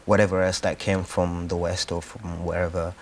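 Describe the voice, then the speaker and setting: A man's voice: low-pitched, little pitch movement, normal speed, a little hiss or hum. A man from Rwanda answers interview questions conversationally, hesitating as he thinks aloud. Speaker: actor-writer being interviewed.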